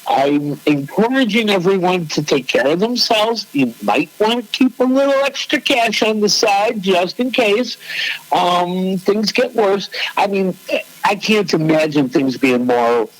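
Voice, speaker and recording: A man's voice: high voice, lively intonation, unhurried, low-quality recording. A man, co-hosting a casual chat, rambling and laughing often. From Chicago. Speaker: co-host.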